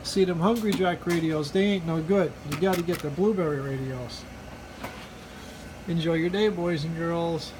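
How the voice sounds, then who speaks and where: High-pitched folksy man over clear background noise. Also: normal speed. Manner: mock-earnest, repetitive, folksy slang. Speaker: hobbyist video presenter. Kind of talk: casual joking product demonstration. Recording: noisy recording with steady background hum.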